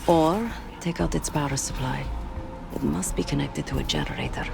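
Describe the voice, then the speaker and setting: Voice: mid-range voice, huge pitch swings, moderate pace, gravelly, over music, full character voice. An actor playing a confident saboteur spy with a dry, sly, understated manner in a game cutscene. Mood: cool, calculating. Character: cool, confident saboteur spy, slyly amused. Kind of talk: video game cutscene acting.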